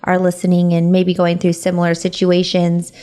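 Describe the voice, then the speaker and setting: A woman's voice: fairly low pitch, completely flat delivery, moderate pace, mellow, smooth. A woman from California hosting a podcast interview, upbeat and encouraging, with frequent fillers. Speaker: podcast host. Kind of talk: casual interview chat.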